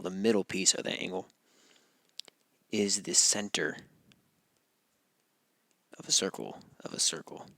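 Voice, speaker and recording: Gravelly-voiced male math teacher, losing his voice. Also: deep voice, no echo. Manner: relaxed, repeats points and checks with "right?". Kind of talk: recorded classroom walkthrough.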